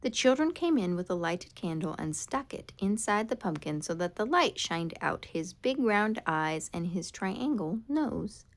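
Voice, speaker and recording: Female storybook reader for children: expressive and dramatic, slow delivery with very animated intonation. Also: medium pitch. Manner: dramatic, expressive read-aloud with drawn-out words. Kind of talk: scripted children's storytime narration.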